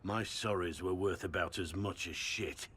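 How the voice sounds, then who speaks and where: An actor voicing a gruff, remorseful middle-aged warrior in a video game, earnest and weary. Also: male voice, deep voice, steady tone, normal speed, a bit bright, slight background noise.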